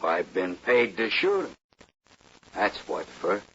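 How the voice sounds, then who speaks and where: An actor playing a stubborn, dim-witted hired gunman with halting rustic speech in an old-time radio western. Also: low-pitched, big pitch swings, fairly bright tone, full character voice. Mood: sullen menace. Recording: noisy muffled old radio recording.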